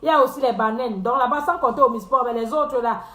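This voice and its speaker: A woman with a high voice mocks her viewers in a teasing live-stream rant. She laughs often and repeats phrases for effect. The sound bounces around an echoey room. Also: quick pace, pushed and bright, soft background music.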